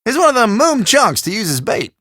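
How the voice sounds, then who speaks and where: A man's voice: extremely high pitch, big pitch swings, brisk, pushed and bright, no echo, studio quality, full character voice. A man playing a chatty, forgetful small-town shopkeeper in a video game, with a folksy, theatrical Southern country drawl. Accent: American Southern or South Central country.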